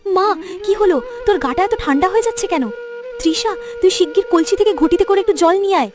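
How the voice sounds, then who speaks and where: A high-pitched village girl, played by a woman, devoted and protective as a cartoon big sister. Her delivery is earnest and emotional, rising and falling widely. Faint music sits behind her. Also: lively intonation, quick pace. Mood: worried. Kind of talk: Bengali cartoon moral-story voice acting.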